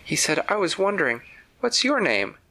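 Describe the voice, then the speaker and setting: A bright, excited little boy whose voice jumps up high with curiosity, as a male storyteller puts him on. A gravelly edge remains underneath. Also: moderate pace, full character voice. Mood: eager.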